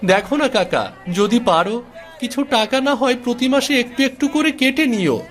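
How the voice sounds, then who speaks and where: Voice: mid-range voice, expressive, normal speed, natural voice. A man voicing a poor, earnest young working grandson in a cartoon story, speaking politely and pleadingly.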